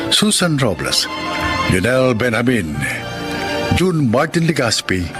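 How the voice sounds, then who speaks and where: Slow, solemn Filipino male narrator. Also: mid-range voice, bright, forceful tone. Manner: solemn, measured, pausing between phrases. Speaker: radio drama host and narrator. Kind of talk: dramatic scripted narration. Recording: music behind the voice.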